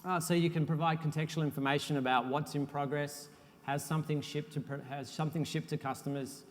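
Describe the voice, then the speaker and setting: A man presenting a technical talk to a conference audience. His voice sits a little high for a man, and it carries a long reverb from the hall. He speaks in a relaxed, self-deprecating way, with frequent uhs. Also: brisk. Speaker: conference speaker, software architect.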